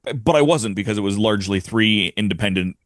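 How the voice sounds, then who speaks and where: A man's voice: on the low side, expressive, fairly quick, no echo, studio quality. A man on a podcast panel talking casually through his review.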